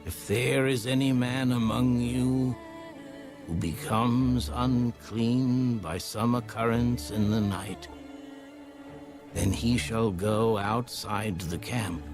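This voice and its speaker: A man reading scripture as scripted audiobook narration in a measured, solemn manner. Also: medium pitch. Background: background music.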